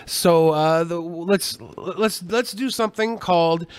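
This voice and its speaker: A man's voice: high voice, slow, clear, resonant tone, no echo. A man co-hosting a podcast, chatting casually in a jokey, rambling, profane way. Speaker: podcast co-host.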